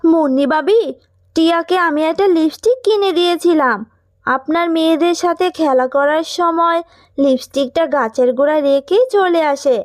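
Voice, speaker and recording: A woman voicing a poor, anxious, gentle fox mother in a children's cartoon, pleading and soothing in a motherly way. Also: very high-pitched, normal speed, no echo, clean studio sound.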